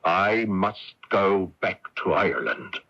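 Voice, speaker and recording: Husky, resolute Irish patriot. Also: male voice, sits low, animated, slow pace, bright, forceful tone, heavily acted. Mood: grave resolve. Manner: earnest, resolute. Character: stubborn, idealistic Irish patriot, doomed and resolute. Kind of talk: radio drama acting. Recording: muffled AM radio broadcast tape.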